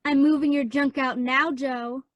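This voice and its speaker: Bratty teen girl, high voice, dry close sound, scornful, spiteful, radio drama. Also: steady tone, unhurried, no echo, lo-fi sound. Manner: snippy, scornful. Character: Amy, spiteful bratty teenage younger sister. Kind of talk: radio play acting.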